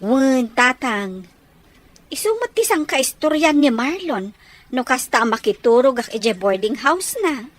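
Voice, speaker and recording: A woman's voice: high-pitched, big pitch swings, unhurried, no room sound, exaggerated voice. A woman acting an affectionate, teasing older sister who gives advice in an Ilocano audio drama. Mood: reassuring.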